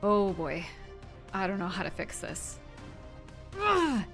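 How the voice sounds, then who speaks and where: A woman's voice: mid-range voice, very animated, takes it slowly, fairly bright tone, faint music behind, heavily acted. A woman playing a brave, caring young superheroine, speaking earnestly and expressively in an audio drama. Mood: worried.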